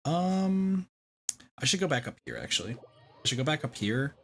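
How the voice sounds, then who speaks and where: A man's voice: fairly high pitch, very animated, normal speed, a little hiss or hum. A man giving casual livestream commentary on a game, muttering to himself and swearing mildly. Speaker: game streamer.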